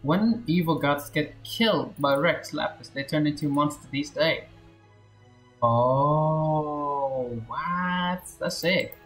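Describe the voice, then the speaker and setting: A woman with a low voice commenting casually as she streams a game. She talks at a slow pace, with game music playing behind her.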